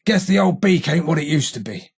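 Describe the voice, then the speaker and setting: An actor playing a gruff, streetwise London gang tough in a video game, with a rough gravelly British working-class accent and blunt, slangy, taunting delivery. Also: male voice, on the high side, lively intonation, brisk, heavily acted. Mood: gruff, confiding. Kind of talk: video game character voice lines.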